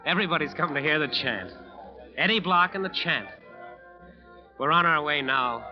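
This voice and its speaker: Old-time radio drama: American man as haunted jazz bandleader, clipped confessional narration, voice a touch high, muffled sound. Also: expressive, moderate pace, close to an everyday voice. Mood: relieved, intent. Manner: clipped, hard-boiled short sentences. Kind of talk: 1940s-50s radio acting, first-person narration. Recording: dull old radio recording, music behind.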